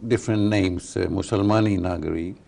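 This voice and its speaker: A man's voice: sits low, steady tone, brisk, creaky, warm tone. An older man hosting a studio interview, hesitant, with many fillers and tag questions. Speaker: talk show host.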